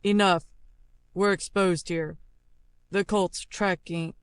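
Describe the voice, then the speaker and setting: Woman as commanding game character, stern, slow delivery, smooth clear voice, scripted lines. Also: on the low side, steady tone, dry recording. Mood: stern, urgent. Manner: deliberate, commanding.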